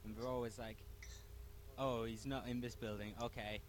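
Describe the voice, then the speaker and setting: A man's voice: medium pitch, flat delivery, normal speed. A young man, chatting casually at home as a podcast co-host, wry and sweary in his storytelling. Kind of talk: casual chat.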